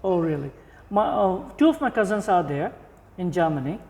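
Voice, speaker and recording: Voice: mid-range voice, sing-song, normal speed, darkish tone, big-room sound. An interviewer hosting a podcast, asking questions in a conversational way and affirming often with short okays.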